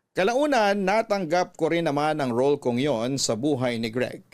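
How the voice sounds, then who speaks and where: Filipino man narrating a dramatic story. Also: medium pitch. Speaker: radio drama narrator and host. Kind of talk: emotional first-person storytelling, scripted narration.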